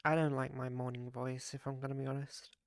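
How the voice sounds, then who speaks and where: A live streamer with a raspy, groggy morning voice, chatting casually with viewers and rambling with many fillers and restarts. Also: medium pitch, little pitch movement, fairly quick, no echo, studio quality.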